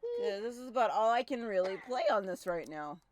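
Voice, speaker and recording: A woman's voice: on the high side, huge pitch swings, fairly quick, background noise. A woman streamer giving casual, chatty commentary while playing a visual novel.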